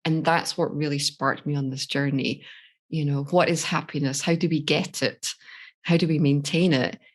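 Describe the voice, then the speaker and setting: A woman's voice: sits low, steady tone, normal speed. A middle-aged Scottish woman, a life coach, telling her personal story in a candid, reflective way on a podcast interview. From Scotland. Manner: reflective, candid, laughs easily.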